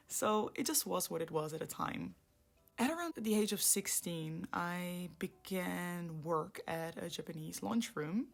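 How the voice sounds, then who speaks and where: Soft-spoken Dutch woman with gravelly voice. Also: fairly low pitch. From Netherlands. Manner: soft-spoken, laughs often, trails into whispers. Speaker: vlogger. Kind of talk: reflective personal storytelling. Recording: light background music.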